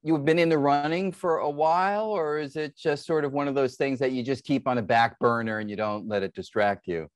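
A man's voice: medium pitch, fairly quick, hardly any pauses, dry recording, studio quality. A middle-aged man hosting an interview over a video call, asking long questions and giving brief responses. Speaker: interview host. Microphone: video call webcam.

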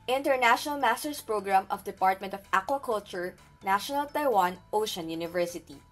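A woman from the Philippines reads a program overview slowly and carefully. Her voice is soft and breathy, with light music beneath it. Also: medium pitch. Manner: careful, deliberate reading. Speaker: international master's student. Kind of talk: read promotional narration.

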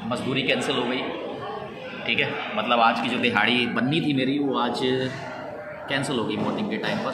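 A man in his thirties chatting casually to a handheld phone camera in a large indoor cafe, rambling with many fillers and restarts. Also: mid-range voice. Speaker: travel vlogger. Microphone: phone held at arm's length. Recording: roomy cafe with loud background noise.

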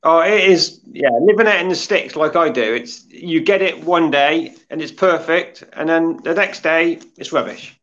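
A man's voice: fairly high pitch, normal speed, hardly any pauses, a bit bright. An older man joining a video call as a guest, chatting casually and agreeably. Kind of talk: casual chat. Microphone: video call from home. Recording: dull lo-fi video call audio.

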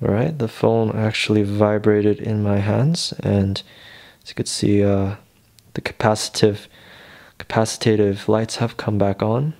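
A man presenting a casual step-by-step tech tutorial in a reassuring, unhurried manner. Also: on the low side, fairly even intonation, gravelly, a little mellow.

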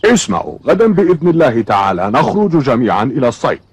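A man's voice: mid-range voice, sing-song, fast talker, slight background noise. A man voicing a kindly, protective older father figure in a cartoon, formal and caring. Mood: welcoming, fatherly. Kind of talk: Arabic cartoon dubbing.

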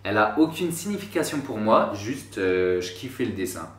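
Young man, casual vlogger, slangy and rambling; lower voice, roomy sound, mic set back. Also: big pitch swings, normal speed, fairly bright tone. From France. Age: 20s. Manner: slangy, rambling, self-mocking asides. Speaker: YouTuber. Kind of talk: casual vlog talk to camera. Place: small room indoors. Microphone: camera a few feet away. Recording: roomy, slightly distant sound.

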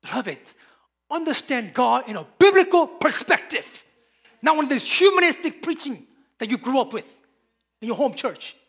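A man's voice: very high voice, very animated, moderate pace, a bit bright, slightly rough. A man, preaching a sermon from a church pulpit with emphatic, repeated phrases. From Korea. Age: 50s. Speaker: pastor.

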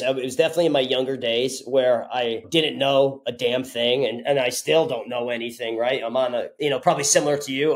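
A man in his thirties from Connecticut, a strength coach telling stories in a casual interview, lively and blunt, heard over a webcam on a video call from a home office. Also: medium pitch. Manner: energetic, animated, casual with mild swearing. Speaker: strength and conditioning coach, guest. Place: home office with ceiling light, indoors.

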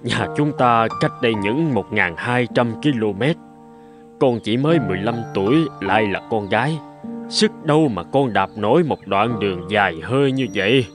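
A man's voice: fairly low pitch, expressive, normal speed, close to an everyday voice. A man voicing an ailing, anxious older father in a cartoon, speaking in a weary, plaintive way. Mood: doubtful. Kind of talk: animated film dubbing. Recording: music clearly behind the voice.

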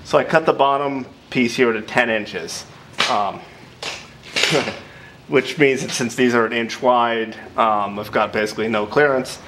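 A man's voice: mid-range voice, a little slow. A middle-aged man casually explaining his work in an informal, hesitant, self-deprecating way, recorded in an indoor workshop. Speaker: maker presenting a workshop build. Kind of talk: casual explanation while working. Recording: faint workshop background noise.

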